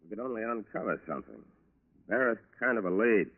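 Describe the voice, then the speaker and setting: Fast-talking low-voiced 1940s federal agent. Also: male voice, very animated, vocal fry, exaggerated voice. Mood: intent. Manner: clipped, businesslike. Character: brisk, determined male federal agent questioning witnesses. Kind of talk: 1940s radio drama acting. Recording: muffled, reverberant old radio broadcast recording.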